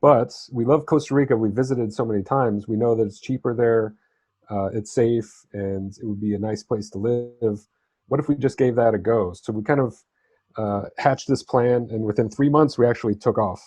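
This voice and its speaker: A man's voice: mid-range voice, moderate pace, mellow, no room sound. A man who grew up in southern Spain talks conversationally as an interview guest, telling his own story in an easygoing, explanatory way. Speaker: interview guest, freelance writer and travel correspondent.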